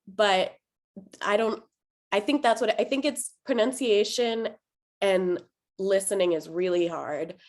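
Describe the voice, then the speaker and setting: Chatty woman teacher on a video call, middle pitch, lots of "like"s and little laughs. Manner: chatty, lively, laughs often, many "like"s. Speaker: adult ESL teacher. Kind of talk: casual discussion among teachers.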